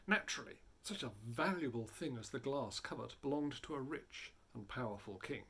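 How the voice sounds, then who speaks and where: A man reading a story aloud in a theatrical, dramatic way, building and hushing for effect. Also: mid-range voice, expressive, normal speed, slightly rough. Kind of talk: animated dramatic storybook narration.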